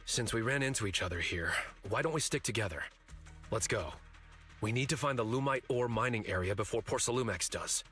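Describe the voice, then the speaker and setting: Earnest, low, bright-voiced young fighter. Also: male voice, expressive, brisk, soft background music. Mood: serious, urging. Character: serious, watchful young male fighter. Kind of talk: English video game voice acting.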